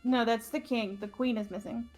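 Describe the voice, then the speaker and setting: Woman, high voice, casual gamer banter, music in background. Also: steady tone, normal speed, a little mellow, rough recording. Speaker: player in a group let's play.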